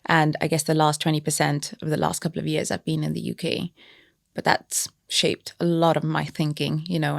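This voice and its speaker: A woman's voice: low-pitched, gravelly, dry recording, clean studio sound. A young woman from Kenya, a business founder and podcast guest, answering in a thoughtful, casual way with many fillers and restarts. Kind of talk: casual interview chat.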